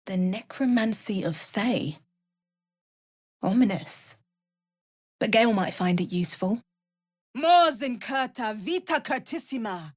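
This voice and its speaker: An actress playing a watchful, wry and resolute female fantasy adventurer in a video game, with a measured, theatrical delivery. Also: a little high, lively intonation, a little mellow, dry recording, clean studio sound. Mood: watchful, curious, then forceful incantation. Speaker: voice actor for a player character.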